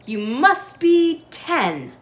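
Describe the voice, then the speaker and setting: A woman's voice: sits high, big pitch swings, very slow, pitched above the performer's own voice, full character voice. A woman voicing a kindly, teasing grandfather in a children's storybook. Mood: playful teasing. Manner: teasing, gentle, twinkly. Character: kindly, playful doting grandfather. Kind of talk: children's storybook read-aloud.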